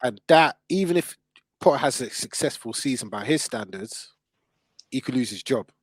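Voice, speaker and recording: A man's voice: medium pitch, lively intonation, normal speed, husky, dry recording. London man in emphatic football debate, dry recording. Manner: emphatic, repeats phrases to drive points home. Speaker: football podcast host.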